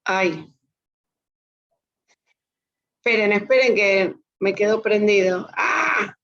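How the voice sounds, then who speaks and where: A woman's voice: mid-range voice, slow pace, vocal fry, a bit bright. A woman with an Argentine accent teaching a class spontaneously, prompting people with questions. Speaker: course teacher. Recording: dull online class audio.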